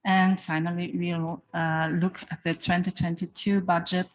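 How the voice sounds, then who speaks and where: A woman whose first language is German, presenting a formal financial report as an association's treasurer, in a measured and careful way. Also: on the low side, flat delivery, poor audio.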